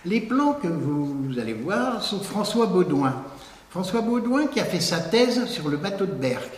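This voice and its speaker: A man's voice: sits high, big pitch swings, a little slow. Man in an echoey hall. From Picardy, France. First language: Picard. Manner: conversational and digressive, with frequent "hein" tags. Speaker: lecturer. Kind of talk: public lecture. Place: large lecture hall. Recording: echoey hall with long reverb.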